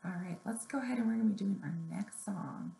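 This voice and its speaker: Creaky-voiced woman leading children's story time. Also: mid-range voice, fast talker, dark tone. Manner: simple, encouraging instructions addressed to small children. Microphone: webcam or laptop at arm's length. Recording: roomy echo, noticeable background noise, webcam sound.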